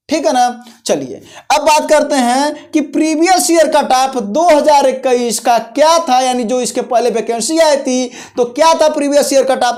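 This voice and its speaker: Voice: mid-range voice, darkish tone. A presenter hosting an informal explainer on exam and job details, speaking in an instructional way, repeating key points and often checking in with listeners. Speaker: host of a job-notification video channel.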